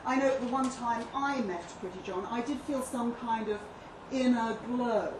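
A woman playing an earnest, articulate author giving an interview, read live as a measured, deliberate dramatic monologue. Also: fairly high pitch, natural voice. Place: live reading in a pub venue. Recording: distant echoey live room, noisy background.

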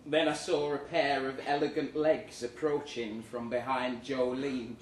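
A man giving a theatrical, expressive reading of a comic short story live in a pub room. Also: mid-range voice, measured pace. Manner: theatrical, expressive, acting out the dialogue. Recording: roomy, distant live recording with noise.

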